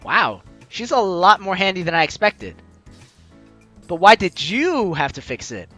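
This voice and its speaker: Animated, bright-voiced man reading a game aloud. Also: sits high, measured pace, soft background music. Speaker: host of a game playthrough. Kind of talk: let's play, reading visual novel lines aloud expressively.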